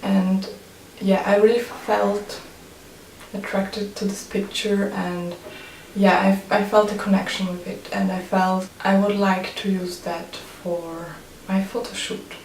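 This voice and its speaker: A woman's voice: mid-range voice, level tone, slow pace, a little mellow. A Swiss woman speaking non-native English with a Swiss accent in a hesitant, casual personal vlog manner. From Switzerland. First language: German and French. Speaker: photographer. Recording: roomy, distant mic, faint noise.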